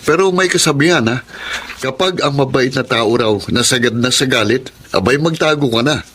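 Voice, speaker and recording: A man's voice: mid-range voice, moderate pace, fairly bright tone, close to an everyday voice. An actor playing a teasing, wisecracking young man in a Filipino radio drama. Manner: joking. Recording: clear noise behind the voice.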